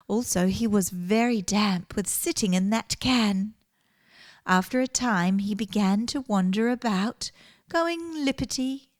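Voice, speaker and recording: A woman reads a children's storybook aloud in a fairly high voice, taking her time over each phrase. Her delivery is gentle and expressive, and the sound is close and dry. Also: dry recording.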